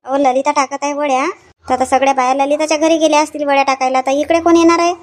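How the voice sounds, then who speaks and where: A woman acts out a busy village housewife for a cartoon comedy. Her voice sits high, and she talks in homely rural Vidarbha Marathi, fretting and organising as she goes. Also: little pitch movement, fast talker, natural voice. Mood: fretting, complaining.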